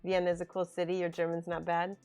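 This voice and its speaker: A woman's voice: lowish voice, completely flat delivery, fast, darkish tone, clean tone. A woman hosting a casual livestream, chatting and laughing as she reads viewer comments aloud. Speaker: miniature painting streamer. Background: faint background music.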